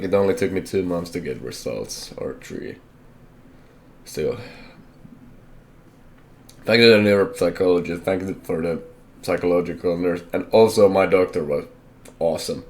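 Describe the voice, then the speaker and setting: Deep-voiced young man, halting and candid. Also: a little hiss or hum. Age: young adult. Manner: halting, hesitant, self-correcting, candid. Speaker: vlogger. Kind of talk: personal life update.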